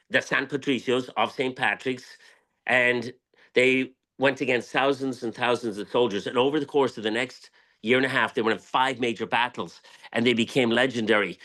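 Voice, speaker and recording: A man's voice: mid-range voice, a bit bright. An Irish man telling history as an interview guest, speaking emphatically and passionately, repeating phrases for weight. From Ireland. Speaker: guest, tequila brand owner.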